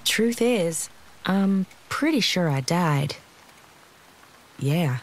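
A woman's voice: lowish voice, expressive, drawn-out words, a little hiss or hum, poor audio. An actress playing a confused young woman left for dead, narrating her story in a casual, wry, hesitant way for an audio drama. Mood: wry, dazed. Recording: dramatic music behind the voice.

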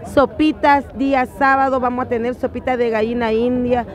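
A woman's voice: on the high side, little pitch movement, moderate pace. A woman in her forties cheerfully inviting people to her food stall in a street interview, outdoors on a busy city square. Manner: cheerful, inviting, laughs often. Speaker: food vendor. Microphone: phone camera close. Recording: loud crowd behind. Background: crowd chatter.

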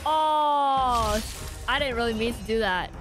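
A woman's voice: a little high, takes it slowly. A woman livestreamer reacting casually and slangily to a game, with game music behind her. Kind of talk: casual gameplay reaction chat. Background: video game music.

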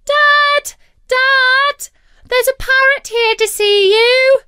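A woman voicing an excitable young girl in a children's storybook reading. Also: extremely high pitch, takes it slowly, dry recording, clean studio sound, pitched above the performer's own voice, full character voice. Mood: excited.

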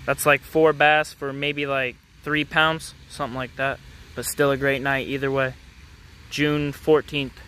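A man's voice: mid-range voice, little pitch movement, unhurried, bright, forceful tone, slight background noise. A young man casually vlogging his fishing catches to viewers, talking into a handheld phone outdoors. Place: outdoors at a city pond edge. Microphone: phone camera held in hand.

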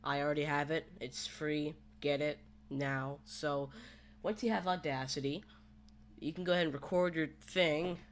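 A man with a slightly high voice walks through a casual screen-recorded tutorial. He is hesitant and rambles as he goes. A faint layer of background noise sits under the home recording. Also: fairly even intonation, moderate pace. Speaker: tutorial host.

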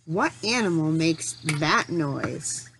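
A middle-aged woman leading a lesson for young children, asking simple questions, pausing for answers and encouraging them. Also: low-pitched, lively intonation, vocal fry, noisy background. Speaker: teacher.

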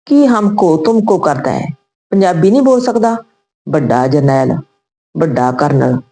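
Woman reading a blunt old uncle, mellow voice. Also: deep voice, very animated, unhurried, heavily acted. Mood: teasing, scolding. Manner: blunt, questioning. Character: blunt, teasing elderly Punjabi village uncle.

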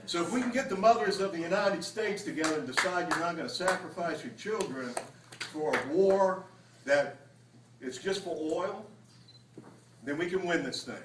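A middle-aged man making an informal, conversational comment from the audience, somewhat halting. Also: high voice. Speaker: audience member at a campaign event. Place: hotel banquet room, indoors. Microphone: handheld microphone, recorded from across room. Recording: noisy echoey room from far off, crowd.

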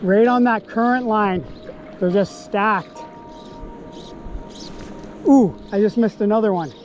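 A young man fly fishing outdoors while wading in a river, excitedly commenting on each catch into a body-worn action camera. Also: high-pitched, slow, faint music behind. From Canada. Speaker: fly-fishing angler and host. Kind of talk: casual outdoor vlog commentary.

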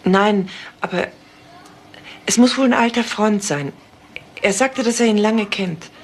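A woman's voice: mid-range voice, measured pace, a little hiss or hum, lightly acted. An actress playing a woman witness answering police questions carefully in a German crime radio play. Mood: subdued.

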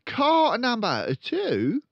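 A man voicing a cocky, crooked, bullying car-dealer father from a children's storybook, loud and emphatic. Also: extremely high pitch, huge pitch swings, very slow, vocal fry, no echo, muffled recording, pitched above the performer's own voice, heavily acted. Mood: dictating.

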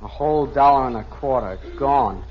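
A man's voice: medium pitch, moderate pace, natural voice. An actor playing a melodramatic, wounded teenage boy with an American accent in an old radio sitcom. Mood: mournful self-pity. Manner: whiny, indignant, mournful. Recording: muffled, faintly noisy vintage radio recording.

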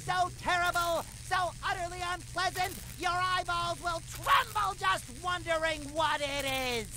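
A man's voice: very high-pitched, steady tone, measured pace, pushed and bright, exaggerated voice, poor audio. A man voicing an eager, boyish, heroic sea sponge in a cartoon video game, chirpy, earnest and melodramatic. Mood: mock threatening. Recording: clear game noise behind the voice.

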